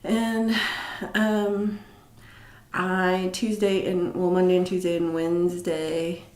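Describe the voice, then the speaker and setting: A woman hosting a casual livestream chat, rambling with many fillers and restarts, with a low voice. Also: little pitch movement, takes it slowly, a little mellow, roomy sound. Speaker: livestream host. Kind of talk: casual live chat reading comments.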